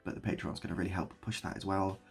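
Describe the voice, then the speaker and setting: A British man improvising a rambling story and chatting as a video host, mock-nostalgic and often repeating his phrases, with a husky, gravelly voice. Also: low voice, flat delivery, fast. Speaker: online video creator and host.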